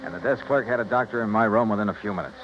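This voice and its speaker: A man's voice: fairly low pitch, racing, faint music behind, natural voice. A man playing a tough, wry private investigator who talks in slangy hard-boiled style, in 1950s radio drama manner. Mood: wry narration. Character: tough, wry male private investigator narrating his case. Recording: dull, noisy vintage radio recording.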